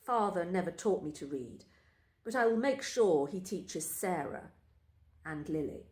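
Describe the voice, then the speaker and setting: A woman reading a first-person literary story aloud in a measured, deliberate storytelling manner. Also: on the low side, lively intonation, a little mellow. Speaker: story reader. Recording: roomy, echoing space.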